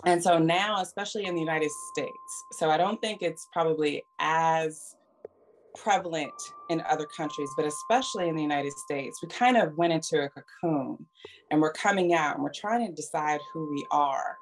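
A woman's voice: low-pitched, normal speed, a little hiss or hum, rough recording. A woman co-hosting a podcast interview, speaking in a reflective, earnest, conversational way.

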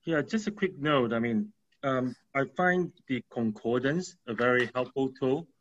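A man of middle pitch speaks up in a casual class discussion, pausing and searching for words. His voice comes through muffled and lo-fi. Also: animated. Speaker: class participant.

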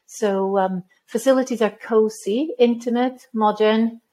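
A woman from Norway in her fifties speaks with a mellow, rather even voice. She answers interview questions at a measured pace, pausing on ums and restarting phrases. Her tone stays level. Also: on the high side. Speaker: head of school, guest.

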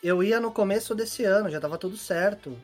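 A man's voice: sits high, a little fast, faint music behind. A man with a Brazilian Portuguese accent chatting in an easygoing way. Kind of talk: casual chat.

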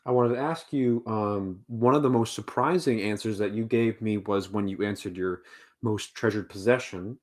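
A man's voice: lowish voice, normal speed. A man co-hosting a podcast who asks easygoing follow-up questions and often answers with short agreements. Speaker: podcast co-host asking questions. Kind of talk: casual podcast interview.

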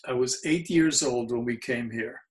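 A man in his seventies with a New York accent and Yiddish as his first language, recalling his childhood in an oral history interview, mellow and halting with frequent fillers. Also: medium pitch. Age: 70s.

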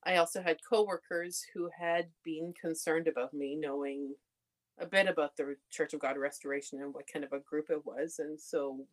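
A young adult woman from Canada, telling her story candidly in a relaxed conversation. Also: deep voice, normal speed, rough recording. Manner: candid storytelling, laughs often. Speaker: podcast guest. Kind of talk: casual conversation.

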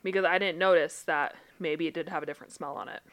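A woman's voice: deep voice, fairly even intonation, quick pace, a bit bright. Blunt, laughing woman podcaster. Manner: blunt, swears, laughs often. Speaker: podcast co-host and therapist. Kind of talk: casual chat.

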